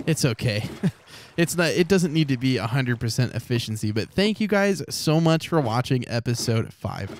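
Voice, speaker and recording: A man casually commenting on his own gameplay, rambling and correcting himself as he goes, his voice a little hoarse from recording for a long time. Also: medium pitch, slight background noise. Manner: rambling, self-correcting, chatty. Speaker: Let's Play creator.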